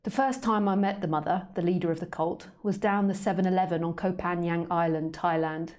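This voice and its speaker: A cocky, vain tomcat tells his tale in working-class London slang, read in the plain voice of the woman who wrote it. The voice sits fairly low and even, and the delivery is steady storybook narration. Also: brisk, natural voice. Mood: smug. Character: vain, proud male street cat, cocky.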